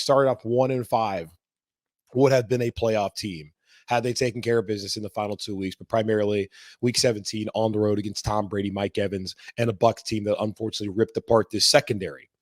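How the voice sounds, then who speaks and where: A hoarse man hosting a sports podcast, talking in a casual, rambling way. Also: fairly low pitch, steady tone, fairly quick, no echo, clean studio sound. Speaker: podcast host.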